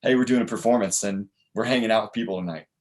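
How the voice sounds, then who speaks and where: A man's voice: lowish voice, rapid-fire, a little mellow. A man, a performer guest on a podcast, talking in an easygoing, rambling way. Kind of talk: casual conversation.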